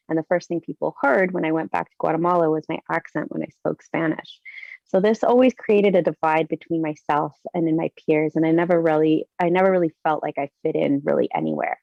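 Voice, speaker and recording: A woman's voice: deep voice, a little mellow, no room sound, muffled recording. A Canadian woman in her thirties giving an earnest, reflective personal presentation over a video call, with some fillers.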